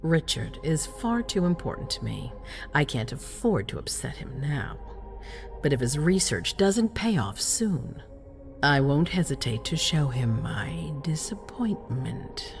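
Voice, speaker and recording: Regal vampire queen, low pitch, expressive swings, calculating, controlled, video game drama, soft music underneath. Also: vocal fry, exaggerated voice. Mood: imperious, menacing. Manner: regal, controlled, deliberate, at times hushed. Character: imperious female vampire prince, cold, calculating ruler.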